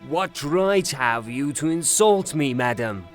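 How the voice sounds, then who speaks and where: An actor playing a hot-tempered, blustering rustic gentleman in a theatrical audio drama, declaiming his lines. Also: fairly high pitch, lively intonation, slow pace, faint music behind. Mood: indignant, challenging.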